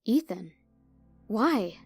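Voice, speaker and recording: A woman's voice: mid-range voice, sing-song, very slow, darkish tone, slightly rough, no room sound, studio quality, faint music behind, full character voice. A woman playing an earnest, loyal police officer in an audio crime drama. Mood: surprised.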